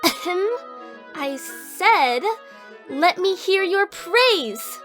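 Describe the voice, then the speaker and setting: A woman's voice: extremely high pitch, lively intonation, very slow, a bit bright, faint music behind, exaggerated voice. A woman voicing a playful, bossy, theatrical young sister in an audio drama. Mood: insistent. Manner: theatrical, teasing, sing-song exaggeration.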